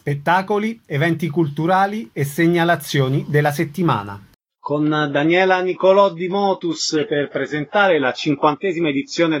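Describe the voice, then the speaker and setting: Fairly high-voiced male radio host. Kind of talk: scripted radio announcing and interview. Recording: radio broadcast.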